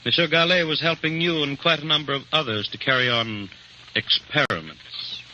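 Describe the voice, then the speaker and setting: A man's voice: a little high, normal speed, pushed and bright. A man acting a suave, relentless French police interrogator in an old radio drama, questioning coolly and sardonically. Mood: sardonic. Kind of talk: 1930s-40s radio acting. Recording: muffled, hissy old radio recording.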